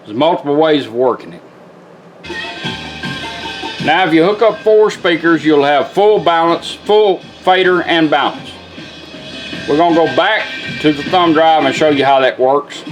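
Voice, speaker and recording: A man's voice: fairly high pitch, lively intonation, a little slow, long pauses, rough recording. A man giving a casual, hands-on product demonstration in a plainspoken, folksy way. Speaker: car radio restorer demonstrating a product. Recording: music playing behind the voice.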